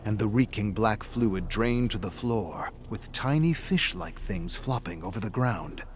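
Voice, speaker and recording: A man's voice: on the low side, expressive, unhurried, a little hiss or hum. A man reading scripted horror fiction as a narrator, in a dramatic, suspenseful style.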